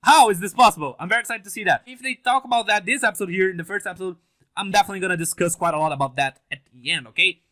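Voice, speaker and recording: A young man whose first language is Portuguese, with a slightly higher, keen and bright-edged voice. He chats casually to camera, swearing, laughing and restarting his sentences in his hype. The sound comes from a stand mic set a little back in a small home setup. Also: racing. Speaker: anime reaction channel host. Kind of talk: casual reaction commentary to camera. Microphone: mic on a stand, set a bit farther than usual.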